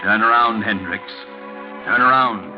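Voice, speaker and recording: An actor plays a sinister, all-knowing storyteller of 1940s radio. His voice is low, his phrases clipped and suspenseful. Music plays behind him on a dull old recording. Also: unhurried, close to an everyday voice. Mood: taunting, urgent. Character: sinister male omniscient storyteller addressing characters. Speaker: narrator. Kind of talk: 1940s radio thriller narration.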